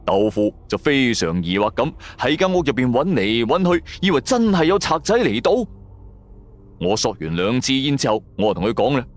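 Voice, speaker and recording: A man's voice: medium pitch, big pitch swings, normal speed. A man with a Cantonese accent narrates a scripted first-person story in a colloquial, dramatic storytelling manner. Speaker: storyteller performing an audio drama. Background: background music.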